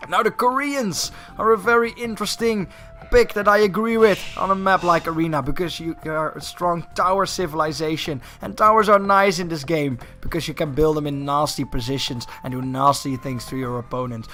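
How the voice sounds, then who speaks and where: Guy doing live game commentary, bright forceful voice, kinda high, hypes things up. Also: fairly quick, faint music behind. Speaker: esports tournament commentator and host.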